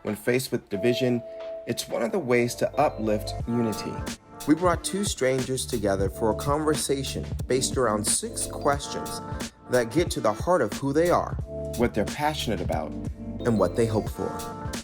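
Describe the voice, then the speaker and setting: A man delivers a calm, measured scripted voice-over at an ordinary pitch. Instrumental music plays clearly beneath him. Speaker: narrator. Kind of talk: scripted narration.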